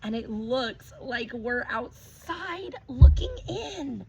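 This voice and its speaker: A woman teacher reading a picture book aloud to children, gently stopping to ask them questions. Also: fairly high pitch, animated, slow pace. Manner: gentle, asks children questions between pages. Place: outdoors with her dogs. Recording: dull lo-fi recording with clear background noise.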